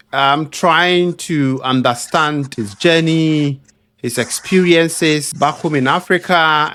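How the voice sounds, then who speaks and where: A man's voice: medium pitch, a bit bright. Man hosting an interview podcast. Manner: friendly, encouraging, laughs along. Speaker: podcast host.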